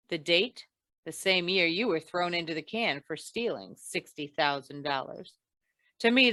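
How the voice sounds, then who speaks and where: A woman playing a tough, wry female insurance investigator in an old-time radio noir, clipped, deadpan and probing. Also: on the low side, no room sound. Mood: accusing, cool.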